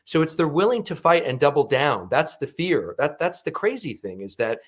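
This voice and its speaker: A man's voice: fairly high pitch, sing-song, normal speed. A man hosting a news talk show, discussing and questioning in a conversational way. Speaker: host.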